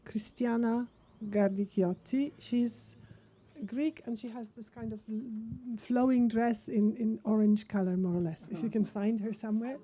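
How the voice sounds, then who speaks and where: A woman's voice: on the high side, fairly even intonation, a little slow, dark tone. A woman moderating a policy workshop session, friendly and rambling, with frequent restarts. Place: conference room.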